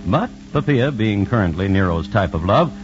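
Male narrator, wry, even-pitched, vintage radio style, dull recording. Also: normal speed. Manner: wry, deadpan. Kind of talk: scripted old-time radio narration. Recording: dull old radio recording, music behind.

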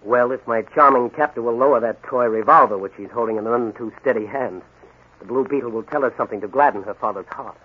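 An actor playing a gallant, self-assured masked crime-fighter in a theatrical 1940s radio serial style, on a tinny old recording. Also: male voice, medium pitch, fast, breathy edge, lightly acted. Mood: suave, gallant.

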